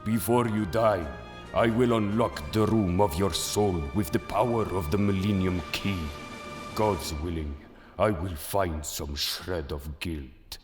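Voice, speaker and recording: Solemn supernatural judge, low voice, slow, ominous, audio drama, music behind. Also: male voice. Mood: menacing. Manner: grave, deliberate, ominous. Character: solemn, merciless mystic judge passing sentence on souls. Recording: long cavernous reverb with music behind.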